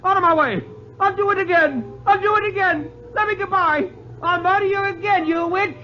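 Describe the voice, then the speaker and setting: Haunted murderer cracking up, shrill and frantic, voice way up high, lo-fi 50s radio. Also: male voice, normal speed, slight background noise. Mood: hysterical terror. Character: hysterical haunted murderer, man, cracking with terror. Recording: lo-fi 1950s radio recording.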